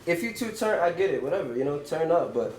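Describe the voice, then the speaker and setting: A man's voice: fairly high pitch, animated, quick pace, a loud crowd. A young man, a guest music artist, chatting casually in an interview with loose slangy talk and a lot of "you know what I'm saying".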